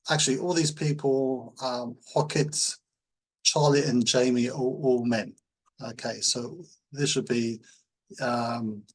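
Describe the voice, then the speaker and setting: A man teaching in an informal tutorial, explaining things in a halting, hesitant way. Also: mid-range voice, low-quality recording. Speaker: language-learning teacher.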